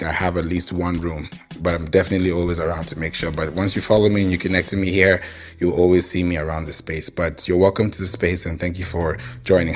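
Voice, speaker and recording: Low-voiced man who grew up in Kenya, hosting a casual live audio room chat, faint music underneath. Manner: welcoming, thanks listeners often. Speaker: host.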